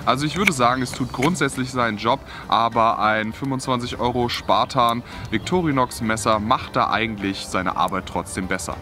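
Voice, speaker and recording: A man's voice: mid-range voice, normal speed, few pauses. Young guy reviewing a multitool out in the woods, casual, figuring it out as he goes, faint music behind. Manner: casual, thinking aloud, trying things out. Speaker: outdoor gear reviewer. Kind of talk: hands-on product review. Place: outdoors in a bare forest.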